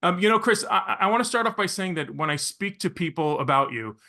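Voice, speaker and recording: A middle-aged man from Venezuela with a bright, forward voice pitched a little high. He hosts a podcast with effusive showmanship and polished delivery. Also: lively intonation, fairly quick. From Caracas, Venezuela. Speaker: podcast host. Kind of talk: polished scripted introduction, then interviewing.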